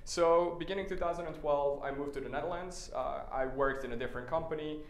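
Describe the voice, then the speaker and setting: A man's voice: on the high side, fairly quick. A man from Serbia giving a talk at a tech meetup, casual and wry, with frequent filler sounds. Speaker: conference speaker. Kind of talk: conference talk with slides. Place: meetup stage, indoors. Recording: echoey room, faint noise.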